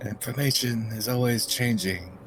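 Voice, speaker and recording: A man's voice: mid-range voice, moderate pace, a bit bright, a little hiss or hum, rough recording. A man playing a tabletop role-playing game, switching between improvised in-character talk and narrating his character's actions.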